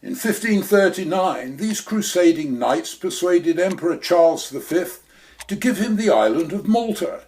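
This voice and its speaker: A pompous, silky schemer with a slightly high voice that rises and falls a great deal, as a man acts him out. He lectures at length in a florid, long-winded way in this radio play. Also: expressive, moderate pace, a little mellow. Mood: scheming. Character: suave scheming old male treasure hunter. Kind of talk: community radio play acting.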